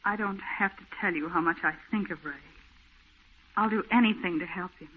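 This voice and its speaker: A woman's voice: lowish voice, normal speed, slight background noise, lightly acted. A woman acting an anxious, lovelorn young woman in an old-time radio drama, speaking earnestly and emotionally. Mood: anxious, heartsick. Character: anxious, lovelorn young woman fretting over her troubled fiancé. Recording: muffled, narrow-band old radio recording.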